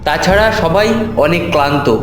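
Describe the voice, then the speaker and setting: A man voicing a skeptical young man of the household in an animated ghost story, firm and questioning. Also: fairly high pitch, normal speed, close to an everyday voice. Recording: echoey, with faint music behind.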